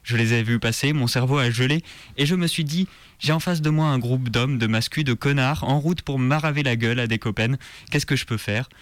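A man with a mid-pitched voice delivers a written personal monologue as a radio columnist. His wording is colloquial and slangy, and the recording is dry and close. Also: brisk, no echo.

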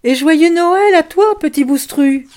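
The kind eldest orphan girl of a storybook, calling out cheerful holiday greetings, as a woman reading aloud puts her on. Her voice rises high and rings out. It is loud and glad. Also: lively intonation, takes it slowly.

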